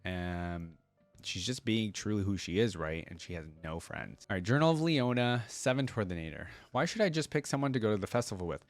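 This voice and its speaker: Male reaction host, lower-pitched voice, informal delivery, very dry close sound. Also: animated, a little fast, no echo, faint music behind. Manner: informal slang, exclaiming between readings. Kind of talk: casual reaction commentary, reading text aloud.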